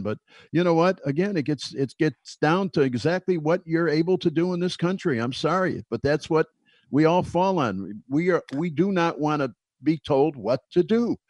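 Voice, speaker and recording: A man's voice: a little high, lively intonation, moderate pace, darkish tone, no room sound. Blunt man in his seventies. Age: 70s. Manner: blunt, emphatic, short declarations. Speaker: co-host. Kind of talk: casual debate on a talk show.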